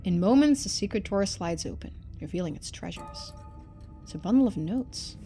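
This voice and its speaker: Woman streamer reading game story out loud, very animated, soft music under it. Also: mid-range voice, normal speed. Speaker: streamer playing and voicing a narrative game. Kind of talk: expressive scripted narration of a game, with casual asides.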